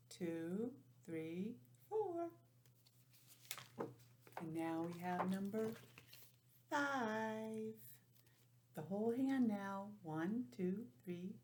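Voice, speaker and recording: Slow-talking woman teaching young children. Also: medium pitch, darkish tone. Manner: informal, encouraging, sounds out letters and counts deliberately. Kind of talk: children's lesson, read-aloud introduction.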